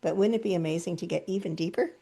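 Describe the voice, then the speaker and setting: A woman's voice: lowish voice, very fast, creaky, mellow. A woman hosting a group video call, chatty and enthusiastic, telling stories and laughing often. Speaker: host.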